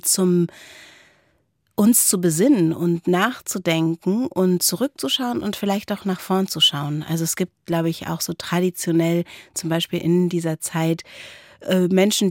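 A woman's voice: on the low side, a little mellow, no echo, clean studio sound. A woman co-hosting a conversational philosophy podcast, reflective and searching for her words. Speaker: podcast co-host.

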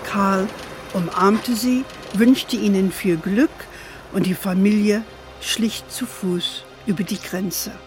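Affectionate grandma played by a woman for children's audio drama: slow, measured delivery, American-tinged German accent, over clear music. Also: mid-range voice, close to an everyday voice. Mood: grave storytelling. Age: older. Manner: measured, tender, sprinkles in English endearments. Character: affectionate grandmother telling her family history to her granddaughter. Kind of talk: gentle bedtime storytelling in a children's radio play.

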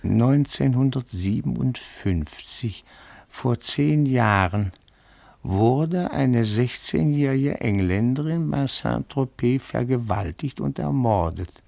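An actor playing a timid but dogged petit-bourgeois amateur detective in a radio play, formal, meticulous and deferential, given to rapturous declamation. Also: male voice, mid-range voice, lively intonation, slow pace, gravelly, a little mellow, heavily acted. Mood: matter-of-fact. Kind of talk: German radio play acting.

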